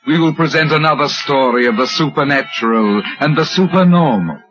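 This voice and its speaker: A man's voice: fairly high pitch, lively intonation, fairly quick, fairly bright tone, lo-fi sound. A man announcing a supernatural radio series in a scripted, old-time broadcast style. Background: music.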